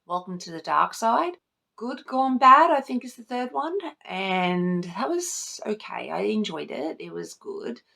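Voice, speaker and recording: A woman's voice: mid-range voice, lively intonation, measured pace, gravelly, pushed and bright. A woman talking casually and informally as a book vlogger, rambling, interrupting herself and swearing lightly now and then. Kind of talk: casual chat to camera about books.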